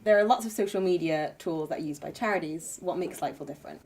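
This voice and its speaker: Interviewer: woman, lowish voice, brief friendly questions, off-mic with faint noise. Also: quick pace, a little mellow, distant mic.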